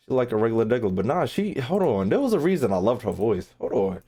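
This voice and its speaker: A woman's voice: rumbling low, sing-song, fast talker, dark tone, slightly rough. A young adult woman reacting casually on a livestream, slangy and profane, often repeating phrases. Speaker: streamer reacting to game voice lines.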